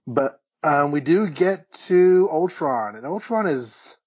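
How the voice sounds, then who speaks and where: A man's voice: on the high side, lively intonation, takes it slowly, a little mellow, husky. A man co-hosting a podcast, casually recapping and discussing with enthusiastic, rambling asides. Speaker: podcast co-host. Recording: dull, lo-fi audio, slightly roomy.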